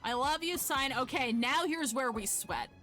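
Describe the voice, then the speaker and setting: Woman gaming on stream, kinda high voice, swears a lot, roasts herself, some game music behind. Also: normal speed, sharp tone. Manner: informal, sweary, self-mocking. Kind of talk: casual live gaming commentary.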